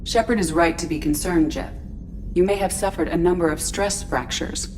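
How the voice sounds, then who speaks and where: An actress playing a calm, polite female ship artificial intelligence, speaking formally and precisely with deadpan humor in a video game. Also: on the low side, normal speed, close to an everyday voice. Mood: composed. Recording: loud music behind the voice.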